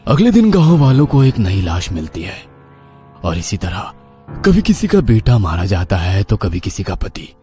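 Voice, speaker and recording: A man's voice: on the low side, wildly swooping pitch, normal speed, warm tone. A man narrating a scripted horror story in a dramatic, suspenseful manner. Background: background music.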